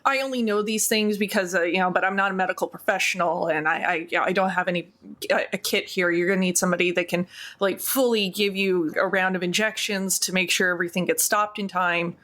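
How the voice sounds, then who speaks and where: A woman's voice: on the low side, steady tone, quick pace, fairly bright tone, natural voice. A woman voicing a nervous young woman who bluffs in an urgent, rambling, stammering way in a tabletop game. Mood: urgent bluffing.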